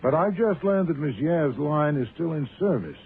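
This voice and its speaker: An actor playing a pompous, shrewd, imperious private detective in a radio mystery, with erudite, deliberate, theatrical phrasing. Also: male voice, fairly high pitch, expressive. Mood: smugly deductive. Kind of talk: radio mystery drama acting. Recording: narrow, tinny, noisy old-time radio recording.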